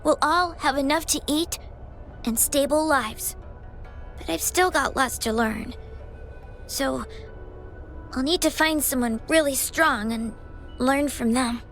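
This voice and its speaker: Little game girl, high voice, slow n earnest, bit hesitant, music behind. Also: fairly bright tone, full character voice. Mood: earnest, determined. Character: earnest little orphan girl with fire powers. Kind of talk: scripted video game voice acting.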